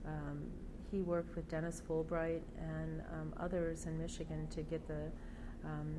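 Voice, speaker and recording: A soft-voiced older woman with a lowish pitch tells her story in an interview, pausing and restarting often. Steady background noise hums under her voice throughout. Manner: halting, with many fillers and restarts.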